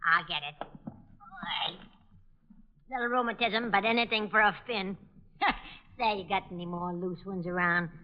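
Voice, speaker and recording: A woman's voice: medium pitch, unhurried, long pauses, lightly acted. An actress playing a brash, flirty, money-grubbing landlady with slangy, familiar talk in a vintage radio drama. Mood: greedy, amused. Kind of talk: 1940s-50s radio drama acting. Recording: dull, lo-fi old radio recording.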